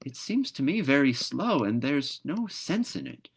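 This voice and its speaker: A man's voice: medium pitch, very animated, a little slow, creaky, slightly rough, pitched above the performer's own voice, full character voice. A man voicing a reluctant, indignant female magpie in a storybook, objecting in a matter-of-fact way. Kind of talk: storybook read-aloud character voice.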